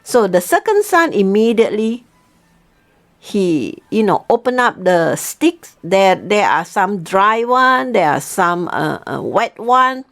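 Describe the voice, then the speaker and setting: A woman teacher telling a folk tale in a chatty, animated way, often checking in with her listeners. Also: mid-range voice, takes it slowly. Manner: animated, chatty, frequent "okay?" check-ins. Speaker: Chinese teacher telling a story. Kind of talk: casual storytelling.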